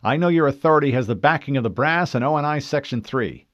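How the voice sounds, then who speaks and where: A man's voice: mid-range voice, quick pace, a bit bright, no room sound, muffled recording, pitched above the performer's own voice, lightly acted. A man voicing an officious, assertive male navy lieutenant in an audiobook, speaking formally and insistently. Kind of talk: audiobook character voice.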